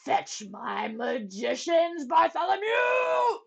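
A proud old king from a storybook, as a woman reader puts him on. He draws his words out slowly and swings into haughty bellows and groans, a blustering ruler who is helpless underneath. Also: very high-pitched, sing-song, vocal fry, lo-fi sound, pitched above the performer's own voice, heavily acted. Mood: commanding, desperate.

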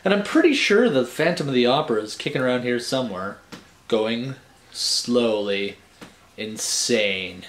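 A man's voice: medium pitch, lively intonation, unhurried. A man in his forties reading picture books aloud as a bedtime storyteller, playful and theatrical, with chatty conversational asides. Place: small furnished room at home, indoors. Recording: roomy home recording with clear background hiss.

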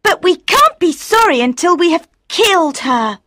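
A man reading a story aloud and voicing a stubborn, vengeful little girl in a very high childish squeak, speaking slowly and without pity. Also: very animated, bright, forceful tone, dry recording, clean studio sound, full character voice. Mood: stern.

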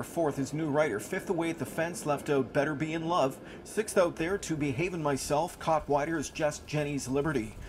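A man's voice: a little high, a little hiss or hum. A man calling a live harness race as the track announcer, in fluent, punchy phrases full of racing jargon.

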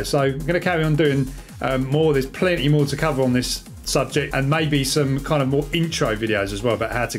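A man, giving a casual, chatty explanatory vlog as a hobbyist presenter. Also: mid-range voice. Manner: informal, chatty. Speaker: radio hobby vlogger.

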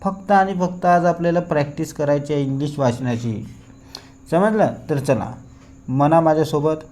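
A man with a Marathi Indian accent teaching a lesson, deliberately reading out practice sentences for learners to repeat. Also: a little high, a little mellow. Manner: deliberate, repetitive, instructing listeners to repeat after him.

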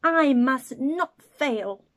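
A woman voicing a tiny, determined female sea snail in a storybook, speaking high and urgent. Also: lively intonation, drawn-out words, fairly bright tone, exaggerated voice.

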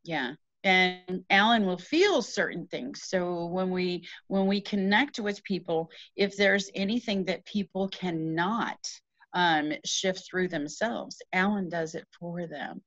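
Middle-aged female healer, low register, earnest and conversational, heard over a muffled, dry video call. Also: slow pace, fairly bright tone, dry recording. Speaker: interview guest, energy healer. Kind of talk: casual explanatory conversation. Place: small room at home. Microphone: video call, shared device at arm's length.

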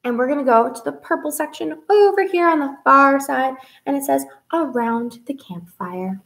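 A young woman with a fairly high voice hosts a read-aloud for children. She talks directly to kids in an expressive, sing-song manner, like a librarian at story time. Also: normal speed, creaky. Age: young adult. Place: small indoor room with whiteboard.

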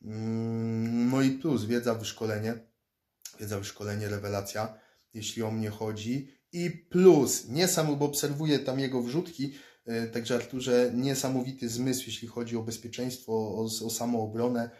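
A man's voice: medium pitch, steady tone, unhurried, mellow. A man in his thirties from Poland, talking casually to a close-up phone camera from a small room indoors, rambling and often repeating words as he restarts. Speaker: fighter and self-defense instructor vlogger. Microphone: phone or laptop camera close up.

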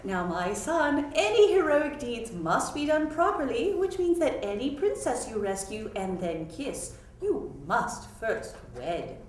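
Casting: regal doting queen mother for a storybook, acted by a woman reader, big pitch swings, echoey distant sound. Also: very animated, measured pace, few pauses, a little mellow. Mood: doting, commanding. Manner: regal, dramatic. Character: grand, doting, commanding fairy-tale queen mother. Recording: echoey room, distant microphone, noisy.

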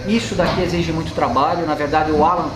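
A man's voice: a little high, fairly even intonation, quick pace. A Brazilian man in his forties, a mechanic hosting his channel, explaining repair work while gesturing at a car in an indoor workshop. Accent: Brazilian Portuguese. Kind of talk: casual workshop vlog talk. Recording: echoey workshop with music underneath.

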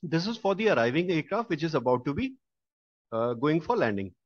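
A man's voice: on the high side, sing-song, brisk, no echo. A man narrating a slide-based aviation tutorial as instructor, explaining step by step with some hesitations. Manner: explanatory, with hesitations and repeated restatements. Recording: dull lo-fi dry voice-over.

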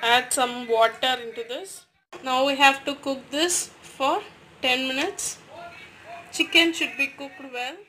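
A woman's voice: sits high, fairly even intonation, takes it slowly, pushed and bright, a little hiss or hum. A woman, giving step-by-step recipe instructions as a voice-over in a home kitchen. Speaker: cooking channel host.

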